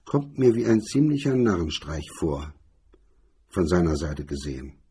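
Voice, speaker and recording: A man voicing a cool, casual, sardonic hardboiled investigator with a husky voice in a crime audiobook. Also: low-pitched, sing-song, measured pace, dark tone, voice pushed down, full character voice. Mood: cool, probing.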